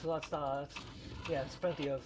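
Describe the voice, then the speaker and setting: A man co-hosting a casual chat, talking haltingly and often repeating words as he goes. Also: on the high side, flat delivery, noisy background. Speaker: podcast co-host. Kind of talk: casual chat about video games.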